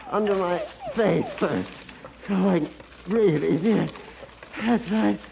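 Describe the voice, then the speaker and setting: An actor playing an earnest farmer who tells stories deliberately and expressively in an old-time radio drama. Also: male voice, sits high, creaky, darkish tone, exaggerated voice. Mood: strained, desperate. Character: earnest farmer recounting his dog's heroics. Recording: faintly noisy vintage radio recording.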